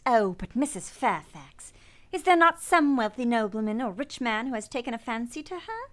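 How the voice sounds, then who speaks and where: A woman's voice: fairly high pitch, big pitch swings, normal speed, a bit bright. A woman playing an earnest young governess in a radio play, both narrating and speaking with polite deference. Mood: curious.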